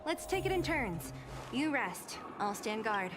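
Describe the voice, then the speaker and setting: High-voiced mysterious game heroine. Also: female voice, big pitch swings, unhurried. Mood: calm. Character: composed, mysterious female fighter in a fantasy game. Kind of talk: video game battle voice lines. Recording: game audio under loud music.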